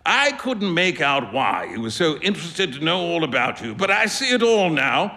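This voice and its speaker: A man's voice: on the high side, very animated, moderate pace, full character voice. A man voicing a jovial male painter who teases his friend, read aloud on a stage. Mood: amused. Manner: bright, hearty, amused. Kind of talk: stage reading of a story. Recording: reverberant live hall.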